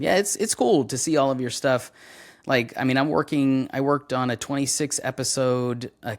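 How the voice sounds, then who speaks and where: A young adult man, a voice actor, chatting casually in an interview, relaxed and full of fillers as he tells stories. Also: medium pitch. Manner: relaxed, storytelling, many fillers. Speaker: voice actor guest.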